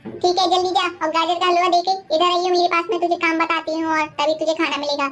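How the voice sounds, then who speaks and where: Cartoon old lady of the house, woman acting: very high-pitched, suspicious, threatening, sharp-tongued. Light music behind. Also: little pitch movement, fast. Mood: ordering, threatening. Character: gruff, suspicious old grandmother, mistress of the house. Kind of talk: animated moral-story dialogue.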